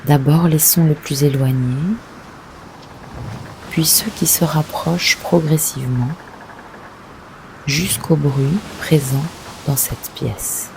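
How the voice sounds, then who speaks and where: A woman leading a guided yoga nidra meditation, giving gentle, unhurried instructions with long pauses. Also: low voice, steady tone, background noise.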